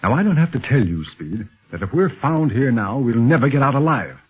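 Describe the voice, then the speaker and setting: A steady secret agent in an old radio adventure. He is very raspy and cautions in serious, urgent tones with animated inflection. The recording is dull 1930s broadcast audio. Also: mid-range voice, fairly quick. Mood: grave concern. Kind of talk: 1930s radio serial acting.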